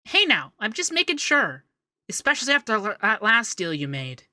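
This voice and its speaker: A man playing a young, fussy, devoted femboy secretary in a scripted ASMR roleplay, chatty and expressive. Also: high-pitched, moderate pace, no room sound, studio quality, exaggerated voice. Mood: fussy, brisk. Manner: chatty, expressive, bashful asides. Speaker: voice actor in roleplay audio.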